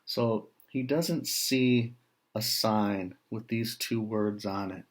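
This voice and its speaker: An American man reads a picture book to children in a fairly low voice. He takes his time, very slow and deliberate, and steps aside to put chatty questions to his young listeners. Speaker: writer reading a picture book aloud to children. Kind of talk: read-aloud storytelling with chatty asides to children.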